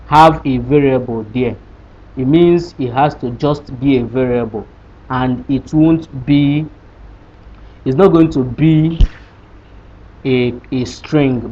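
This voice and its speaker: A man's voice: mid-range voice, slow pace. A man teaching a programming lesson, explaining code step by step and asking rhetorical questions as he goes. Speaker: programming tutor. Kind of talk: screen-recorded coding lesson. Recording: dull lo-fi sound with faint hiss.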